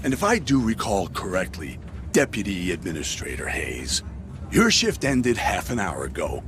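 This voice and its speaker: An actor playing a brusque, sarcastic middle-aged station administrator with a short temper, in a cinematic sci-fi game. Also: male voice, low-pitched, huge pitch swings, normal speed, music in the background, heavily acted. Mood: angry, dismissive. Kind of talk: cinematic video game acting.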